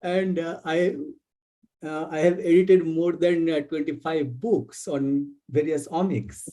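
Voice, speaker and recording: A male academic with an Indian English accent delivers a webinar lecture. His voice sits a little high for a man yet has a dark, relaxed tone. He phrases things deliberately and haltingly, as a visiting professor walking through slides.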